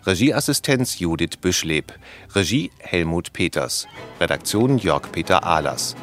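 A man's voice: deep voice, expressive, measured pace, a bit bright. A man reading scripted credits as a radio announcer. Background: music.

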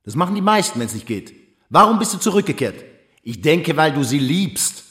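An actor playing a frank, sober friend in a German radio drama, probing and reasoning insistently. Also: male voice, fairly high pitch, big pitch swings, moderate pace, fairly bright tone. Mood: confronting, insistent. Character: frank, sober male friend confronting a despairing man. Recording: reverberant, roomy studio sound.

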